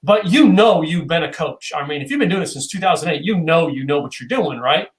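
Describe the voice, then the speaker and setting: A man's voice: a little high, animated, fairly quick. Rambling, joking male host. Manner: rambling, joking, many fillers. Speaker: show host. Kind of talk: casual video-call interview. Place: loft room at home.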